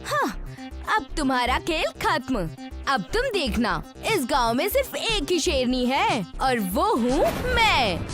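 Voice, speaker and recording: A woman's voice: sits high, very animated, takes it slowly, music in the background, exaggerated voice. A woman voicing a boastful, scornful old village woman in a fairy tale. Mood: challenging. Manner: gloating, scornful.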